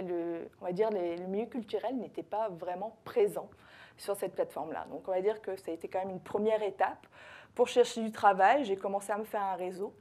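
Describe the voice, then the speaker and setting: A woman's voice: mid-range voice, darkish tone. A woman from France with a French accent, a consultant giving a conference talk to a live audience, hesitant, with many fillers and self-corrections. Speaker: social media consultant and trainer.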